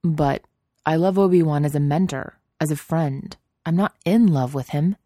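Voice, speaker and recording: A flustered young man stumbles over his words in guilt, as a woman reads him in her own voice. The tone is low and dark, close and dry with no echo. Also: moderate pace. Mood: flustered, guilty.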